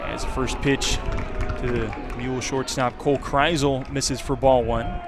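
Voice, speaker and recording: A man's voice: medium pitch, level tone, unhurried, over music, crowd noise. A man calling live baseball play-by-play as a sports announcer, broadcasting from outdoors at a ballpark. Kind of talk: live baseball radio commentary. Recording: clear outdoor stadium noise behind. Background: outdoor ballpark ambience.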